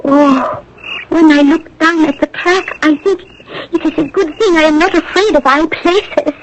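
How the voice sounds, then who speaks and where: A woman's voice: high voice, a little slow. An actress playing a young, anguished French resistance woman in a radio drama, earnest and emotionally strained, on an old noisy broadcast recording. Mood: guarded, accusing.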